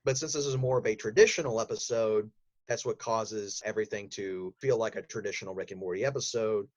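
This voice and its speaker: A man's voice: lowish voice, a little fast. A man speaking as a podcast guest, telling detailed, enthusiastic stories in casual interview conversation. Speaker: podcast guest, voice actor and audio drama writer.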